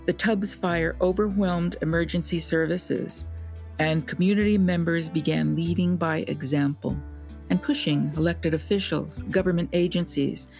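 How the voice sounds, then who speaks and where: A woman with a fairly low voice narrates a documentary in a steady, deliberate way. Plucked guitar music plays clearly behind her. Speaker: co-host and narrator.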